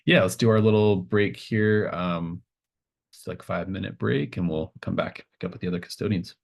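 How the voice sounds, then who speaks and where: A man with a very deep voice runs a tabletop horror game. He improvises the narration casually, pausing and restarting as he builds each image. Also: vocal fry. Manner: halting, casual phrasing as he improvises scenes. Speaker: game master.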